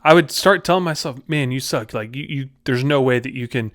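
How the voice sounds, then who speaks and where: A man's voice: mid-range voice, brisk, no echo, clean studio sound. A man hosting a podcast, giving a casual motivational talk straight to the viewer in an informal, direct, confiding way. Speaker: podcast host.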